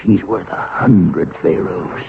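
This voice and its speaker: A man's voice: deep voice, takes it slowly, creaky, phone-quality sound, exaggerated voice. An actor playing a boastful, suspicious Egyptian sailor in a 1970s radio drama, with folksy, blustering working-man talk. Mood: wistful, loyal. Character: gruff, boastful, suspicious Egyptian sailor devoted to his queen. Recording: band-limited, noisy old radio recording.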